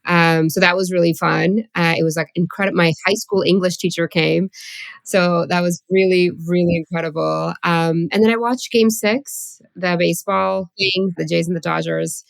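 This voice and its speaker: A woman in her thirties talks easily as a podcast guest, her voice low and rough with fry. She fills her sentences with casual likes and ums. The sound is dry and close, with no room around it. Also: steady tone, normal speed, no room sound. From Pakistani, raised in Saudi Arabia, moved to Toronto at thirteen. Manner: chatty, lots of likes and ums. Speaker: psychotherapist guest. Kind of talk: casual interview chat.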